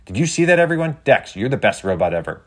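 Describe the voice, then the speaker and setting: An excitable schoolgirl livestreamer played by a man, bold and geeky. The voice is lifted high and chatty, addressing an online following in a children's adventure story. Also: normal speed. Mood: thrilled. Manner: bubbly, chatty, addressing viewers. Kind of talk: scripted children's audio story.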